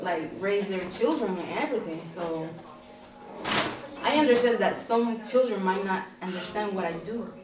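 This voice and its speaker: A young Dominican woman chatting as a podcast guest, pausing often with "um" and "like". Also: medium pitch, lively intonation, over a noisy crowd. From Dominican Republic. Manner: hesitant, fills pauses with "um" and "like". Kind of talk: casual chat. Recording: roomy, distant, voices behind.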